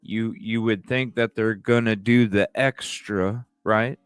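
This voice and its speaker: A man's voice: fairly low pitch, steady tone, takes it slowly, smooth, no room sound, studio quality, soft background music. A man hosting a livestream with casual, speculative commentary, repeating phrases and asking rhetorical questions. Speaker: livestream host.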